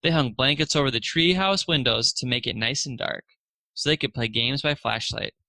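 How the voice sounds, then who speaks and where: A man's voice: mid-range voice, normal speed, bright, forceful tone, dry recording. A man narrating a children's storybook aloud, expressively lifting his voice for the dialogue.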